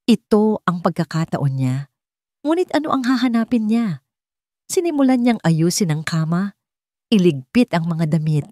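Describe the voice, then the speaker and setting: Lowish, animated woman storyteller. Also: no room sound, studio quality. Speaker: narrator. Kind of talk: dramatic scripted story narration.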